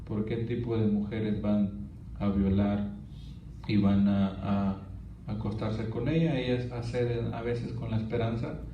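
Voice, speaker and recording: A man giving an earnest church testimony, often halting and restarting as he speaks. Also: lowish voice, steady tone, a little hiss or hum. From Honduras. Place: church hall. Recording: distant, roomy, dull church recording.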